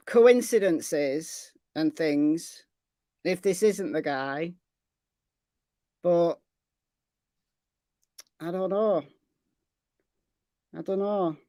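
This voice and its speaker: Slow-talking Lancashire woman host. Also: lowish voice, dry recording, studio quality. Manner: chatty, thanks viewers for donations. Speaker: true crime channel host. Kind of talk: casual livestream chat.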